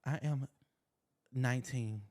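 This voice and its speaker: A man's voice: mid-range voice, fairly even intonation, slow pace, a little mellow. Laid-back blunt young man guest. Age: 20s. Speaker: podcast guest. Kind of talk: casual interview chat.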